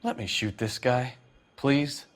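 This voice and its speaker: An actor playing an irritable, sarcastic police detective in a video game, grumbling in a deadpan way. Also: male voice, medium pitch, slow pace, close to an everyday voice. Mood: irritated, deadpan. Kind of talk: video game cutscene acting.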